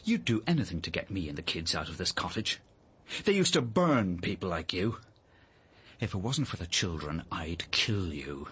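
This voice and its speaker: A man's voice: low-pitched, big pitch swings, normal speed, a bit bright, husky, heavily acted. An actor playing a bitter, hot-tempered British father in a radio drama, with a sarcastic, menacing manner. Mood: menacing, seething.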